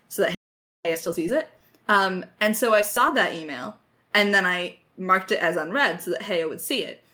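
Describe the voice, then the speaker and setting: A woman co-hosting a casual question-and-answer podcast. Her voice is bright and very animated in pitch. She talks in a joking, teasing way, like chatting with a close friend. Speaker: podcast co-host, writer and voice actor. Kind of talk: casual chat Q&A.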